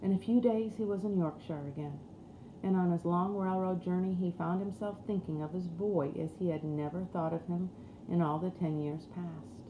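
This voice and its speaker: A woman's voice: on the low side, normal speed, narrow, tinny audio. A woman reading a storybook aloud as scripted narration. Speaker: audiobook reader. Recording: roomy echo with faint background noise.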